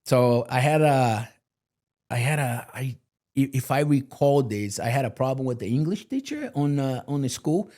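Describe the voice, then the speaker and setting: Male guest, mellow and earnest, motivational, filler-heavy. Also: medium pitch, lively intonation, moderate pace. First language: Portuguese. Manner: earnest, motivational, lots of "you know" fillers. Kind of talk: candid podcast interview.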